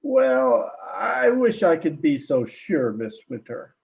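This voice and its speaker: A man's voice: sits high, sing-song, slow, gravelly, a little mellow, full character voice. A man playing a plain-spoken police detective sergeant in an old-time radio drama, with a hesitant, folksy, polite manner. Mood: polite, probing.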